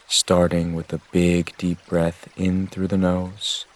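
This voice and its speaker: Slow, low-voiced man guiding meditation. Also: monotone. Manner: gentle, measured, soothing instruction. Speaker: meditation guide. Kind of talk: guided meditation narration.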